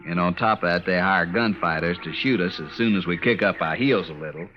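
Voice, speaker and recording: Male actor as Texan trail boss, low pitch, gruff, folksy, old radio drama, dull recording. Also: a little fast, a little hiss or hum, close to an everyday voice. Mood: grim, stubborn. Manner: blunt, folksy. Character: gruff, stubborn, plain-spoken Texan cattle trail boss. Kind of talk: old time radio western acting. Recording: muffled vintage radio, faint music.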